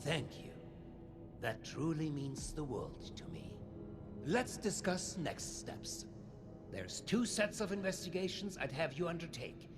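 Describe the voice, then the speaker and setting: An actor playing an eager male vault leader who warmly welcomes a new recruit. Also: a little high, expressive, unhurried. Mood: welcoming. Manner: warm, effusive, drops German phrases. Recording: background game music.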